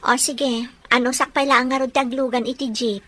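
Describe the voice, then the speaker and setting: A woman's voice: high voice, little pitch movement, moderate pace, pushed and bright. A woman acting a sweet, understanding young girlfriend with an Ilocano accent in a radio drama. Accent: Filipino, Ilocano. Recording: music behind the voice.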